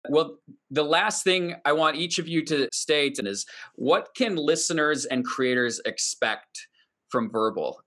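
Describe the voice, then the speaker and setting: A man's voice: mid-range voice, fairly bright tone. A man hosting a podcast interview in a conversational style. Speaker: podcast host.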